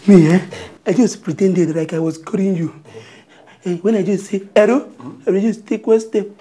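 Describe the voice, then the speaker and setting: A man's voice: sits high, steady tone, moderate pace, dark tone, breathy edge. A man playing a sly Nigerian apprentice. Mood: boastful. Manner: hesitant, stalling, then giggly boasting. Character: sly young male apprentice, playing dumb. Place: outdoors on a street.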